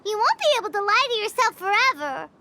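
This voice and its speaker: Tiny fairy sidekick, extremely high squeaky voice, spirited, excitable, swooping intonation, video game. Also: female voice, quick pace, very noisy, heavily acted. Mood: earnest. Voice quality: squeaky, childlike. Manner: excitable, speaks of self in third person. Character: small floating childlike fairy companion, chatty, spirited. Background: game music.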